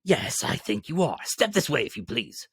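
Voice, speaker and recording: A man voicing an elderly miser turned joyful and generous, in an eager, excited manner. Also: mid-range voice, moderate pace, husky, dry recording, studio quality, pitched above the performer's own voice, exaggerated voice. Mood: jovial.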